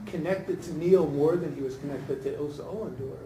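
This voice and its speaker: A man's voice: a little high, normal speed, warm tone. A man giving hesitant, informal feedback in a group discussion, thinking aloud as he goes. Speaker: audience member, scholar giving feedback. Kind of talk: informal spoken critique at a talkback. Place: indoor room at a post-reading discussion, far from the mic. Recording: distant roomy recording with background noise.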